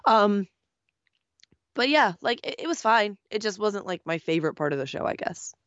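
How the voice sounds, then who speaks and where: A woman's voice: medium pitch, very animated, normal speed, fairly bright tone, no room sound, dull audio. A woman co-hosting a wrestling podcast, her voice worn from being sick, easygoing and laid-back in casual chat. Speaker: podcast co-host.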